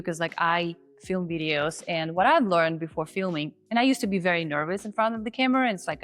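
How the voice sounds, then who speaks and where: A woman who is not a native English speaker, hosting and teaching in a chatty, animated way. She breaks off now and then to act out example voices. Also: fairly low pitch. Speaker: confidence coach and host. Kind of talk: casual on-camera teaching.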